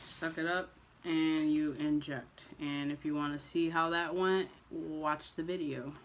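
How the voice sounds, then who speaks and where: A young man chatting casually to camera as a vlogger, relaxed and loose, with frequent ums and restarts. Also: on the high side, unhurried, noisy background.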